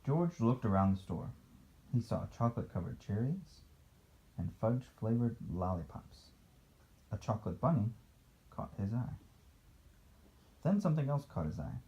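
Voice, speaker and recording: A man's voice: mid-range voice, sing-song, moderate pace, long pauses, dark tone, lo-fi sound. A man reading a picture book aloud as a father to a child, playful, with casual asides and questions.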